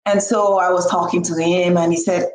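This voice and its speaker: A woman's voice: lowish voice, moderate pace, reverberant, low-quality recording. A middle-aged woman from Cameroon, telling personal stories expressively in a group discussion. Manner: expressive, acts out quoted speech.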